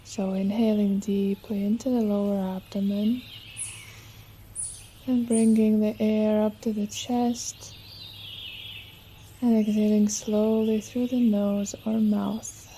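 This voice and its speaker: Very mellow, slow woman guiding relaxation. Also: mid-range voice, level tone, a little hiss or hum. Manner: soothing, unhurried, gentle instruction. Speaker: yoga nidra teacher. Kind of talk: guided relaxation meditation. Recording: nature ambience with birdsong behind. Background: birdsong and nature sounds.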